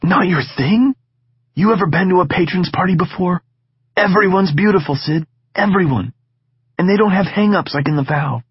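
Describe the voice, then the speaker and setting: A brash, teasing teenage boy who talks his friend into things, played by a male narrator. His voice sits high and a little loud, with a husky grain. The recording sounds a touch dull. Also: normal speed, dry recording. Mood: pushy eager.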